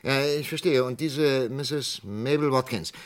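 A man's voice: medium pitch, measured pace, lightly acted. An actor playing a concerned, puzzled psychiatrist in a radio crime play, hesitant and probing, breaking off his sentences. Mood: uneasy, probing.

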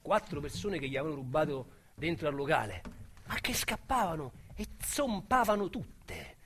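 An actor with a Roman accent playing a boastful small-time male crook in a radio drama, talking in a colorful, slangy way that swings between loud bragging and hushed menace. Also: on the high side, big pitch swings, moderate pace, a little hiss or hum. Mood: menacing. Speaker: narrator-protagonist.

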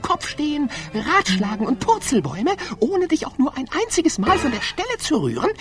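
A clever bird prosecutor in a fantasy radio drama. Her high, lively voice argues pointedly and lectures with mockery. Music sounds behind her. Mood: mocking, probing. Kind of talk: radio play acting.

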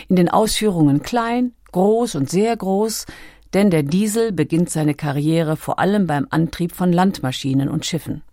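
A female narrator reads documentary script with composed, measured delivery. Her voice is slightly low and soft in tone, recorded close and very dry. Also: no room sound.

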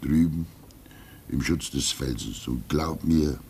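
A slow old polar keeper. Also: male voice, very deep voice, gravelly, husky, heavily acted. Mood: reflective. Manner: slow, wistful, with soft laughs. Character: old male polar station keeper, weary, pious. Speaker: actor. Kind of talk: radio play acting.

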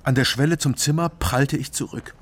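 A man's voice: medium pitch, normal speed, breathy edge, natural voice. An actor playing a weary East Berlin private detective who tells his own story soberly in a radio drama. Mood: sober, reflective. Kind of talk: radio drama acting and first-person narration.

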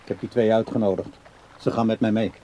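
A tender but stubborn ageing man with a warm, dark voice, played by an actor. He is easily fed up, in the manner of a radio play character. The recording carries faint background noise. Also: on the low side, fairly even intonation, quick pace, lightly acted. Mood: placating, evasive. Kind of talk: Dutch radio play acting.

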